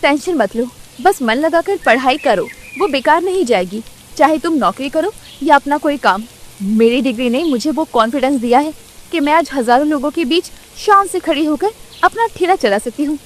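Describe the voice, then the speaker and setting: A woman's voice: sits high, expressive, normal speed. A woman voicing a self-assured samosa seller. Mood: confident.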